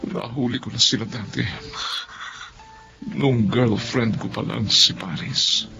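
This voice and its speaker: An actor playing a wounded, protective husband in a Tagalog radio drama, earnest and halting with heavy emotion. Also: male voice, mid-range voice, lo-fi sound. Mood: pained. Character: wounded, protective husband confronting his wife's affair. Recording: faint music behind the voice.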